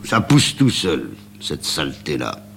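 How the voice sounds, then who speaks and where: A man's voice: rumbling low, huge pitch swings, measured pace, creaky, fairly bright tone, a little hiss or hum, heavily acted. A man playing a hot-tempered, sarcastic taxi driver who grumbles in slangy speech. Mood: grumbling.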